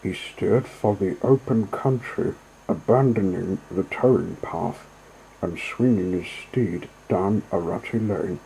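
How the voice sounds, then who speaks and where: A man's voice: sits low, slow, vocal fry, mellow, a little hiss or hum, low-quality recording. A man reading a classic children's story aloud as a scripted narration.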